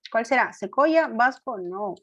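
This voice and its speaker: A woman's voice: fairly high pitch, lively intonation, a little slow, fairly bright tone, dull audio. A woman teacher going over quiz answers with her students in an online class, coaxing them with questions, affirming with short fillers and correcting her own slips. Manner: corrects herself aloud, apologetic.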